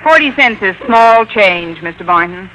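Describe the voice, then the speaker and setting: An American actress playing a wry, long-suffering schoolteacher with dry, sardonic delivery in an old-time radio comedy. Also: female voice, mid-range voice, expressive, normal speed, natural voice. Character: wry, wisecracking adult woman schoolteacher, lovelorn and long-suffering. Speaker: lead actress and narrator. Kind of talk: 1940s-50s radio comedy acting. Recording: vintage radio recording with faint noise.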